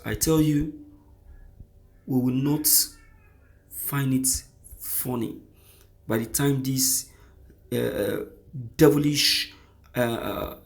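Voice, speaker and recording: A man's voice: medium pitch, vocal fry, darkish tone. A man with a Nigerian accent reading out news and giving impassioned commentary, repeating phrases for emphasis.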